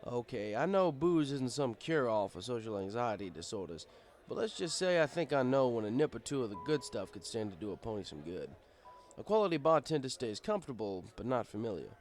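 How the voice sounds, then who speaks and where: A man's voice: medium pitch, big pitch swings, a little fast, close to an everyday voice. A man playing an easygoing, fatherly pony bartender who tells his story in a wry, conversational way. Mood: reflective. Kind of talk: first-person audiobook narration in character.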